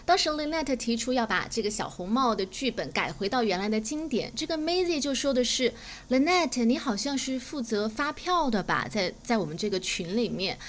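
A woman's voice: a little high, expressive, fairly quick, a little hiss or hum. A native Chinese-speaking woman giving a chatty, explanatory English lesson, reading phrases aloud and glossing them. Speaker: English teacher and podcast host.

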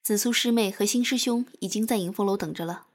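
A woman acting a dutiful, calculating young assassin with a formal, measured manner in a Chinese costume audio drama. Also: mid-range voice, lightly acted. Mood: respectful.

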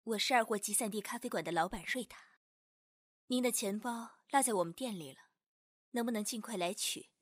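High, husky, brash young cafe boss acted by a woman. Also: brisk, no room sound, studio quality, natural voice. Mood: polite, businesslike. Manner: bossy, excitable, playful. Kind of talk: Chinese audio drama acting.

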